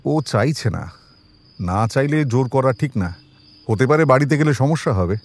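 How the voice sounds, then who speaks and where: An actor in an audio drama playing a composed police detective who questions people politely but with quiet suspicion. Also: male voice, medium pitch, animated, normal speed. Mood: probing, composed.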